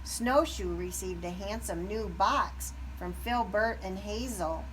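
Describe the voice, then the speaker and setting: A woman narrating a children's story aloud with expressive, deliberate phrasing. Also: mid-range voice, distant mic, low-quality recording. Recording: background noise.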